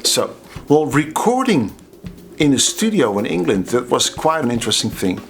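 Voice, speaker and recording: A middle-aged Dutch drummer with a Dutch accent, telling anecdotes in a chatty, casual way to the camera. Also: male voice, mid-range voice, very animated, normal speed, gravelly, soft background music. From Netherlands. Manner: chatty, anecdotal, laughs at his own stories.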